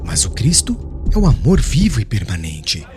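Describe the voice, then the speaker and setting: A man voicing a humble, devout preacher on trial in an audiobook, speaking in a firm, measured, formal way. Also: lowish voice, huge pitch swings, loud music behind the voice, over a noisy crowd, pitched below the performer's own voice. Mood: devout, calm. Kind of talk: audiobook character acting, formal speech.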